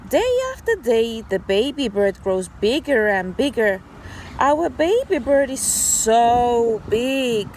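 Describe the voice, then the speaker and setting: A woman teacher reading a story aloud to young children in an animated, deliberate, child-directed way. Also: fairly high pitch, a little hiss or hum. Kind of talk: expressive children's read-aloud.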